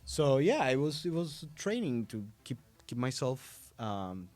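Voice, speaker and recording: A male voice actor answering interview questions in a podcast studio, measured and thoughtful with pauses. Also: medium pitch, sing-song, a little mellow, dry recording. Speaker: voice actor and audiobook narrator. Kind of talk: conversational interview. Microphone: studio mic.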